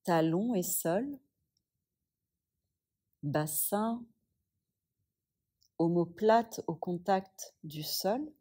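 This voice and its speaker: A woman yoga teacher guiding a yoga nidra relaxation, speaking softly and soothingly with long pauses. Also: lowish voice, animated, slightly rough.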